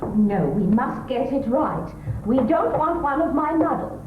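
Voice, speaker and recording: Woman playing a high, fluttery posh English wife. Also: animated, measured pace. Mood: flustered. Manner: gushing, exclamatory, posh. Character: chattering middle-class English wife, anxious, fluttery, needling her husband. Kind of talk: theatrical stage comedy acting. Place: theatre stage. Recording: echoey stage recording, tinny, hissy.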